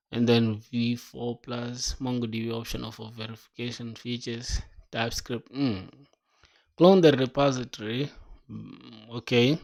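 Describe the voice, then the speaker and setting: A young man streaming himself coding, chatting casually with viewers and thinking aloud in slang. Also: mid-range voice. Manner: slangy, calls viewers "bro", thinks aloud and reads docs; bursts into excited reactions between mumbled reading. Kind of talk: casual livestream chat while coding.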